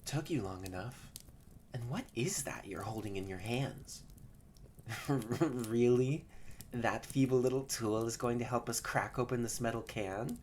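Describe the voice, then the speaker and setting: A woman voicing a haughty young male catboy who is secretly soft-hearted, bratty and bossy but easily flustered, in a scripted roleplay audio drama spoken to the listener. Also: very deep voice, a little slow, slight background noise. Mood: haughty, curious.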